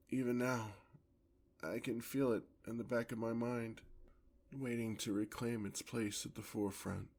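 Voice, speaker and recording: A man performs a vampire lover, tender yet predatory, in an ASMR fantasy roleplay. The voice is fairly low and full of gravelly creak. The phrasing is formal and theatrical, delivered at a slow, lingering pace. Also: a little mellow, exaggerated voice. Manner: theatrical, formal, drawn-out endearments.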